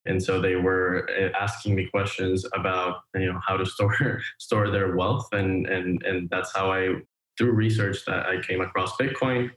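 A man from Venezuela, an interview guest, telling his background in a halting way with many restarts and fillers. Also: low-pitched, fairly bright tone, roomy sound, rough recording. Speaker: crypto wallet co-founder, guest. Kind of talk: conversational interview answers.

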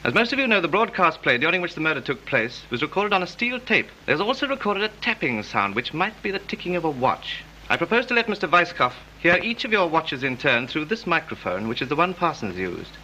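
An actor playing a formal, methodical police inspector in a 1930s film, speaking with authority. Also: male voice, fairly high pitch, big pitch swings, a little fast, few pauses, sharp tone. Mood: brisk, formal. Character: brisk, methodical male police inspector addressing assembled suspects. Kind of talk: 1930s British film acting. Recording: tinny, hissy 1930s film soundtrack.

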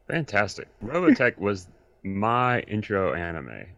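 Middle-aged male guest expert, deep voice, casual and explanatory. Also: very animated, unhurried, a bit bright, dry recording, dull audio.

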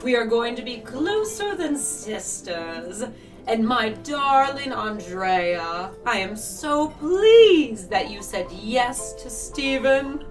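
Woman as effusive posh mother-in-law type, genteel, highish, takes her time, audio drama. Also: big pitch swings, slow pace, far from the mic, soft background music. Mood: effusive warmth. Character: gracious, effusive future mother-in-law, society lady.

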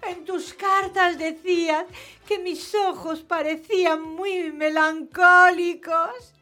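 A woman playing Gelda, a very high-pitched lovesick girl. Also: steady tone, slow, pushed and bright, soft background music, heavily acted. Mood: pleading. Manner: breathless, pleading, repeats questions. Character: Gelda, young woman, lovesick, clingy, hard of hearing. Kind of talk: radio play acting.

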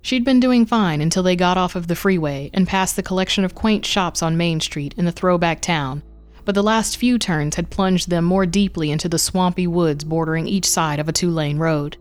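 Female narrator for scripted horror fiction, voice sitting a little low. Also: moderate pace, no room sound.

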